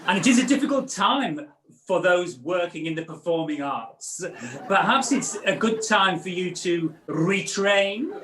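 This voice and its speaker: Man playing high-voiced, brash pantomime dame. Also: lively intonation, measured pace, a crowd in the background. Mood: cheeky, bargaining. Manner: theatrical, bantering sales patter. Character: brash, cheeky female potion peddler, pantomime dame. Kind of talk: amateur pantomime acting with comic songs. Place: at home, indoors. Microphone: video call. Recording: roomy home video-call recording.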